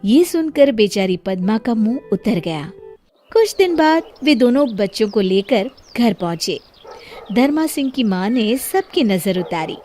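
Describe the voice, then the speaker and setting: Expressive, unhurried woman storyteller over music. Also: fairly high pitch. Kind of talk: scripted children's story narration.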